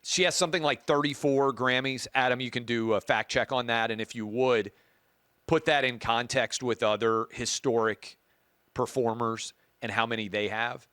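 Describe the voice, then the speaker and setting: A man with a booming voice hosting a talk show, giving emphatic opinion commentary and repeating key points for effect. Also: steady tone, moderate pace, a bit bright, smooth, dry recording, clean studio sound.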